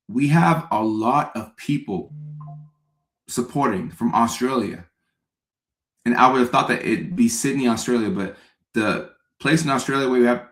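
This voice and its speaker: Upbeat man chatting on a live stream. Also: mid-range voice. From Ghana. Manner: upbeat, greets viewers by name, repeats phrases. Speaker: comedian hosting a live stream. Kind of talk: casual live-stream chat reading viewer comments. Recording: echoey room.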